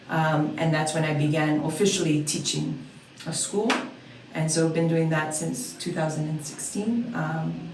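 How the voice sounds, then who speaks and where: Friendly woman guest from Hawaii; somewhat low voice, recorded at a distance in an echoey room. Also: a little mellow, slight background noise. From Oahu, Hawaii. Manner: friendly, easygoing, with frequent fillers. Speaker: dance instructor, guest. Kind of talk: casual interview answers.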